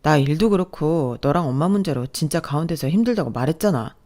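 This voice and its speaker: A tired young husband, voiced by a woman reading a story aloud, caught between wife and mother. He speaks a bit lower and softer, defensive and soothing. He never raises his voice much. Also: expressive, a little fast. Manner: defensive, placating and low-key. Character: evasive, weary young husband caught in the middle. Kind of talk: storytelling with acted dialogue.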